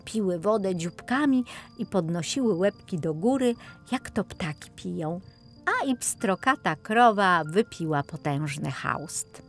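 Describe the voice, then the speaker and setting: A woman narrates an audiobook fairy tale for children. Her voice sits a little low, with soft music playing behind it. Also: expressive, a little slow.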